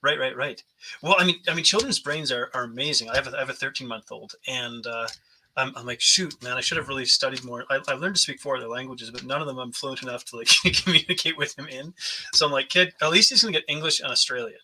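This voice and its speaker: A man's voice: medium pitch, fast, bright, forceful tone, slight background noise, low-quality recording. A man hosting a podcast interview, chatty and rambling, often laughing as he talks. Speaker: podcast host. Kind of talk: casual interview chat.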